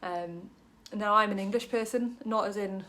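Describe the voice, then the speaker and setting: A woman's voice: mid-range voice, steady tone, normal speed, fairly bright tone. A woman from Wales giving a casual, chatty Bible study talk to camera, full of ums and light jokes about herself. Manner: chatty, many ums, self-deprecating jokes.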